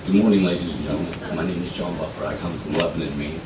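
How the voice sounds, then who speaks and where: A low-voiced man testifies before a legislative committee, earnest and pleading, his appeals turning emphatic. He sounds far from the microphone in an echoey hearing room with steady background noise. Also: fast. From Colorado. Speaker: member of the public testifying. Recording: echoey hearing room, distant mic, noisy.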